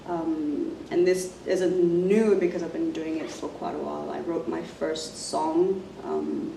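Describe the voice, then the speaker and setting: A woman speaks softly and haltingly, filling her pauses with ums. Her voice sits low and mellow with little rise and fall. She sounds set back from the mic in an echoey room with a faint hiss. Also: flat delivery, vocal fry. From Zimbabwe. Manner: soft-spoken, halting, many fillers. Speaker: musician. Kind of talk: casual self-introduction to camera. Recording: roomy, distant mic, faint noise.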